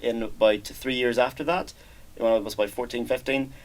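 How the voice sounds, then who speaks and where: A young man with a Northern Irish accent, an exchange student answering interview questions in a relaxed, hesitant way with many fillers and restarts. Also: mid-range voice, brisk. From Northern Ireland. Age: young adult. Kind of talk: casual interview chat.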